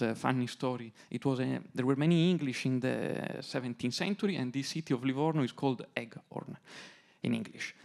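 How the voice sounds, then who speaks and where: A man with an Italian accent speaks English as a second language on a conference panel. His voice is noticeably gravelly at a middling pitch. He builds his points slowly, in short, halting phrases. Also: darkish tone. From Italy. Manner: measured, halting, fragmented phrasing.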